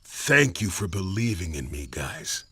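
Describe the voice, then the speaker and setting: An actor playing a big, tough henchman in a cartoon video game, with a gravelly voice and swaggering manner. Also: sits low, sing-song, a little slow, bright, forceful tone, full character voice. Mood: grateful. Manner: swaggering, punchy tough-guy talk. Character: cocky, tough metal-armed henchman, big adult male brute.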